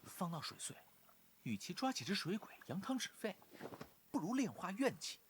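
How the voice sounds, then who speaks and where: An actor voicing a cheeky, clever young male cultivator in an animated fantasy drama, playful and teasing. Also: high voice, normal speed, slight background noise. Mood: confident.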